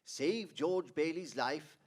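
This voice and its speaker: An actor playing a calm, authoritative senior angel in a 1940s radio drama, measured and solemn. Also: male voice, fairly high pitch.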